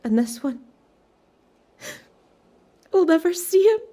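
A woman's voice: very high-pitched, very animated, takes it slowly, warm tone, exaggerated voice. An actress playing a tender, grieving young Scottish widow and new mother in a radio drama.

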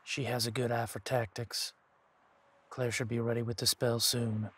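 A steady werewolf leader, played by a man in an audio drama. His pitch stays very level. He speaks deliberately, with calm, protective authority. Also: slightly rough. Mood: calm, commanding. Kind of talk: audio roleplay drama acting.

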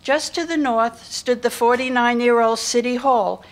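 A woman's voice: fairly high pitch, steady tone, a little slow. A woman in her sixties reading scripted historical narration aloud at a podium. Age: 60s. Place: lecture hall stage before a seated audience. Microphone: podium microphone.

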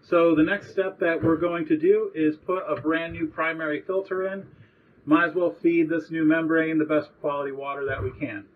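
A man's voice: fairly high pitch, steady tone, normal speed, recorded from a distance, phone-quality sound. A man in his thirties walking through a repair step by step while working in a cramped sailboat cabin, recorded on a nearby action camera. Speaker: boat owner showing a repair. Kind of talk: hands-on how-to walkthrough.

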